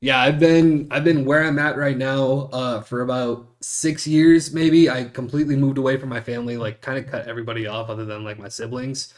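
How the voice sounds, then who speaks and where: A man's voice: mid-range voice, fairly even intonation, fairly quick, hardly any pauses. A man hosting a podcast interview over a video call, talking in an easygoing, candid way. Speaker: podcast host. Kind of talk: casual interview.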